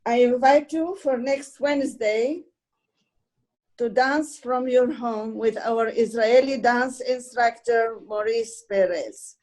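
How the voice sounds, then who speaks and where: A woman with a fairly high voice welcomes an online audience as coordinator. She speaks very slowly and deliberately, in a formal, ceremonious way. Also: darkish tone. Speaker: programme coordinator and host. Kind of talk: formal welcome speech on a video call. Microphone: video call.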